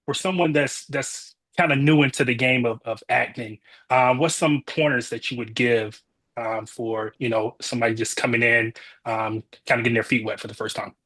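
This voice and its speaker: Male acting student, medium pitch, casual and hesitant questioner. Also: steady tone, normal speed, a bit bright.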